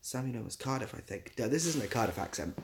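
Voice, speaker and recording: A man's voice: medium pitch, lively intonation, fast talker, slight background noise. A young man with a southwest English accent, hosting a casual livestream in a rambling, self-deprecating way. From southwest England, near Bath. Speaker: livestream host and YouTuber.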